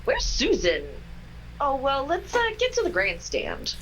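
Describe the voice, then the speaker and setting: Brief: high-voiced woman, lively delivery, 1940s radio acting with a jokey twist, video call. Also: unhurried, dull audio, a little hiss or hum. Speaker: cast member in a comedic radio play reading.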